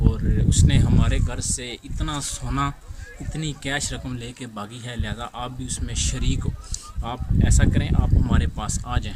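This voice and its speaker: Male host, Pakistani accent, mid-pitched. Halting, repetitive storyteller with rhetorical questions, over clearly noisy amateur sound. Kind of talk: informal storytelling to camera.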